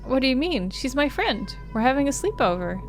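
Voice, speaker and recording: A woman's voice: sits high, sing-song, a little fast, music in the background, voice pushed up. A woman voicing a distressed, protective big sister, the emotional heroine of a visual novel. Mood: puzzled, defensive. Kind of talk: visual novel dialogue read aloud.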